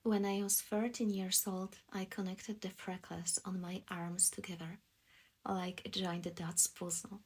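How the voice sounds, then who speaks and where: A woman from Poland reading scripted audiobook narration as a voice actress, in a soft, deliberate storytelling manner. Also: mid-range voice, level tone, husky.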